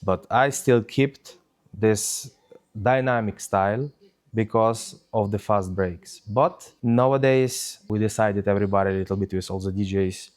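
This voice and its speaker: A man's voice: fairly low pitch, a little mellow. A man from Ukraine speaking English, answering interview questions with many hesitations and fillers. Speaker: DJ guest.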